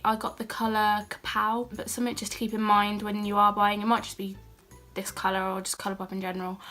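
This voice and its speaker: Young British woman, croaky from a cold, flat and chatty haul-vlog delivery. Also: medium pitch. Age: young adult. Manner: chatty and self-deprecating, with asides and fillers. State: has a cold, a bit croaky. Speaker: beauty and haul vlogger. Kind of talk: casual haul vlog chat.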